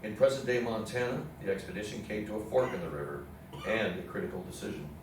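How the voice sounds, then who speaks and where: Echoey, low-voiced man narrating history. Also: lively intonation, fast. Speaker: narrator in a readers theatre play. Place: indoor theatre room with audience program. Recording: echoey hall, distant mic, faint noise.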